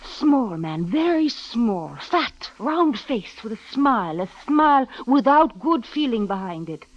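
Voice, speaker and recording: An actress playing a reserved Egyptian woman with a formal, measured manner in 1940s radio style, on a dull old recording. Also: a little high, sing-song, no echo. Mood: guarded, formal.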